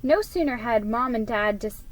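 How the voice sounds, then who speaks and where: A woman's voice: on the high side, expressive, moderate pace. A woman giving a dramatic reading of a children's story, expressively acting out the dialogue. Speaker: storybook reader.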